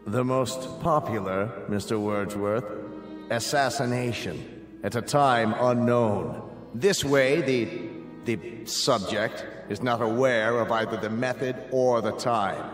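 An actor as a cold chancellor in an echoing hall. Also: male voice, mid-range voice, slow, faint music behind, natural voice. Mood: coldly procedural. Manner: formal, pronouncing, bureaucratic. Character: cold, officious state chancellor passing sentence, man. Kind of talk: radio drama acting. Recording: echoey reverberant hall.

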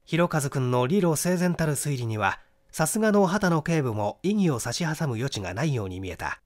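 A man reading a literary detective story aloud as scripted narration, with an animated storytelling delivery. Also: a little high, a little fast. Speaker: audiobook reader.

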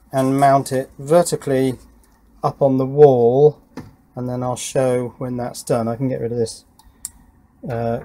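A man gives a casual workshop demonstration, muttering questions to himself as he works through each step in a shed. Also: mid-range voice. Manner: muttering, self-questioning asides while working. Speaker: electronics hobbyist presenter. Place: small wooden garden shed workshop.

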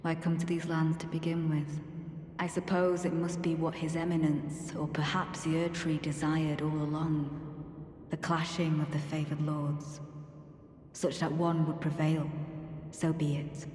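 An actress playing a devout female knight in a video game, courteous yet coldly menacing, speaking formal archaic lines in a measured, solemn way. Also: sits low, level tone, darkish tone, long reverb tail. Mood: quiet, resolute.

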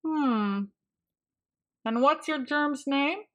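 A woman voicing a calm, kindly pet show judge in a storybook, politely announcing and asking questions. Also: high voice, extremely slow, pitched above the performer's own voice, exaggerated voice. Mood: friendly, official.

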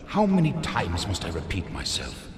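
An actor playing a stern, urgent, exasperated wizard ambassador in a formal, imperious manner for a fantasy game. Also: male voice, low voice, wildly swooping pitch, moderate pace. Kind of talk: fantasy game cinematic acting. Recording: echoing stone hall under music.

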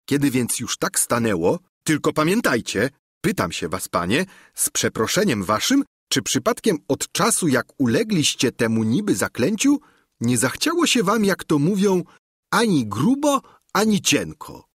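A man's voice: fairly high pitch, big pitch swings, unhurried, breathy edge, no echo, studio quality, pitched above the performer's own voice, exaggerated voice. A man voicing a simple, earnest peasant squire who talks in a blunt, folksy, pleading way.

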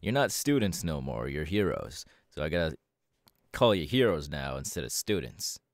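A laid-back superhero mentor acted by a man speaks in a low voice for an ASMR roleplay. He is proud and reassuring, drawling casually with hesitant pauses. The sound is dry, with no room around it. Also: sing-song, dry recording, heavily acted. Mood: casual, explaining.

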